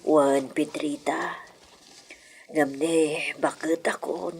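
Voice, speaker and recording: Secretive aging matriarch , female actor, low breathy-rough voice, brooding radio drama delivery. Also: steady tone, extremely slow, full character voice. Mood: secretive, grave. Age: older.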